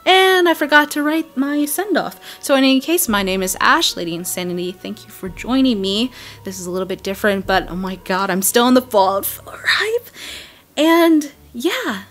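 A woman hosting a game video, giving an excited, chatty, emphatic voice-over breakdown. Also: on the high side, moderate pace. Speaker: video game channel host. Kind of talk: game trailer breakdown voice-over, semi-scripted. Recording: voice-over with background music. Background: music.

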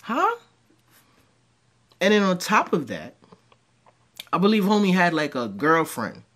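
A man's voice: high-pitched, a little slow. A man, telling crude stories in a home-studio reaction commentary. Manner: animated, rambling storyteller with crude jokes. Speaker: commentary host. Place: dim home room with green light.

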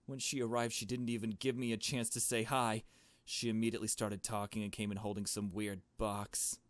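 A man's voice: lowish voice, little pitch movement, fairly quick, dry recording, lightly acted. A man voicing a nervous, shaken young woman who narrates a visual novel mystery in a hesitant, uneasy way. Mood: subdued, uneasy. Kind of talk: visual novel story read aloud.

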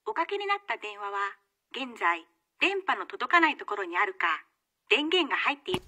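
A woman's voice: fairly high pitch, big pitch swings, normal speed, pushed and bright, full character voice. A woman playing a formal automated telephone announcement, heard through a phone. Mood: neutral, formal. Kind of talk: recorded announcement.

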